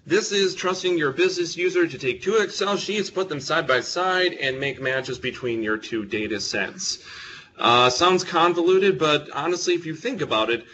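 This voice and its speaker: Bright-voiced man giving a technical talk. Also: on the high side, expressive, normal speed, lo-fi sound, slight background noise. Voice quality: big voice. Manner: conversational, self-deprecating humor. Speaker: presenter at a user group meeting. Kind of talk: technical talk to a live audience. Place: meeting room with an audience. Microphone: close microphone.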